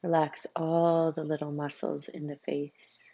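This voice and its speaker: A meditation coach guiding a practice in a soothing way, with unhurried phrases, long pauses and a husky, gravelly voice. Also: mid-range voice, flat delivery, warm tone, dry recording. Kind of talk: guided meditation.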